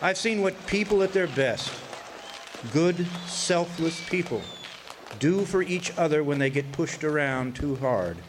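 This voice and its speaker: An actor playing a weary older drifter and war veteran, narrating a radio drama in a folksy, hesitant, reflective way. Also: male voice, on the high side, lively intonation, a little slow, noisy background. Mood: wistful, weary.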